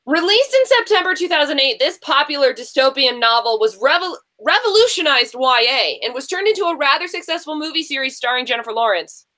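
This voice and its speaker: A woman hosts a casual quiz online. Her voice is very high and forward, with a bright edge. She talks in a chatty, encouraging style. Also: lively intonation, fairly quick, few pauses. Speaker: quiz show host. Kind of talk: hosting an online book quiz, casual chat.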